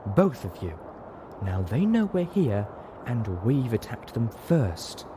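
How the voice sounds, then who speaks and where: A man's voice: mid-range voice, very animated, slow pace, background noise, pitched above the performer's own voice. A man voicing a prim, idealistic female pony medic in a storybook reading, scolding in proper, exasperated diction. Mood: cross, sarcastic.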